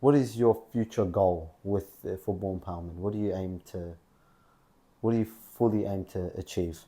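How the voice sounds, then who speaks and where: A man's voice: low voice, moderate pace, warm tone. A man interviewing a guest, asking questions hesitantly and restarting them as he goes. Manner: hesitant, repeats his question openings.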